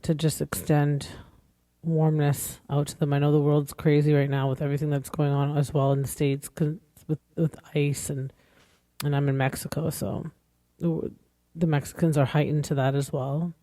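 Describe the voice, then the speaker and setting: A woman in her forties talks straight into the mic in a loose, rambling podcast monologue. Her tone is earnest and conversational, with a warm, mellow voice. The sound is dry, with no room echo. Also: low voice, little pitch movement, normal speed. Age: 40s. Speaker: podcast host.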